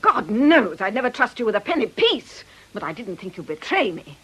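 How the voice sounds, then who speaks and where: Husky, furious, betrayed woman. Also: fairly high pitch, sing-song, moderate pace, fairly bright tone, heavily acted. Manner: biting, emphatic. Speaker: actor. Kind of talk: British radio drama acting.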